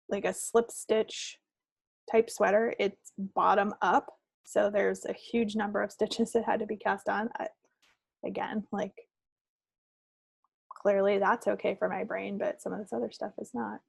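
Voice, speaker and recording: A woman's voice: medium pitch, little pitch movement, measured pace, gravelly, husky, no room sound. A woman co-hosting a podcast, chatting casually in a rambling, self-deprecating way. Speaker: podcast co-host. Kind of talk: casual chat about knitting projects.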